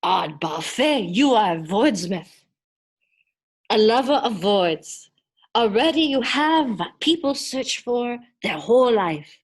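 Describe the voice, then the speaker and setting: A woman's voice: on the high side, big pitch swings, slow, full character voice. Woman acting an emphatic, declamatory storybook genie man. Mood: emphatic, encouraging. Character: swarthy male genie, exotic, emphatic and encouraging.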